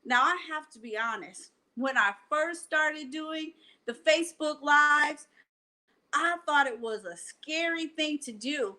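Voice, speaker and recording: A woman with a high-pitched voice hosts a children's bedtime storytime. She takes her time, phrasing things deliberately and speaking straight to young listeners as she reads aloud. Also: sharp tone. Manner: slow, deliberate phrasing, addressing children directly. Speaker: library storytime host and reader. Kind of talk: bedtime picture-book read-aloud for children. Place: at home, indoors.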